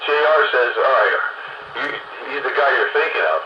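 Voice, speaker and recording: A man chatting casually over CB radio in a rambling, halting way, often repeating words. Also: medium pitch, expressive. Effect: CB radio transmission. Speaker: amateur CB radio operator. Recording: dull lo-fi radio, loud static noise.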